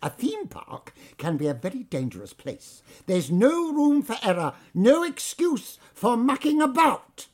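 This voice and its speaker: An actor playing a pompous, bullying theme park manager with an English accent, in audio drama style. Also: male voice, extremely high pitch, big pitch swings, unhurried, hardly any pauses, darkish tone, exaggerated voice. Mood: condescending. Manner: pompous, theatrical.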